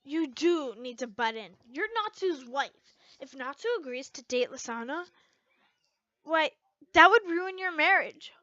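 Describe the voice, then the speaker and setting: A woman's voice: sits high, animated, a little slow, sharp tone, no echo, highs cut off, heavily acted. A woman voicing a sarcastic, insistent teenage girl, the teasing best friend in a story.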